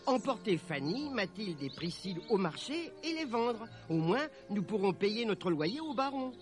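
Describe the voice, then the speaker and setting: A man's voice: high-pitched, very animated, normal speed, over music, pitched above the performer's own voice. A man voicing an earnest, hopeful young peasant son with an eager manner in a storybook. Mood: resolute.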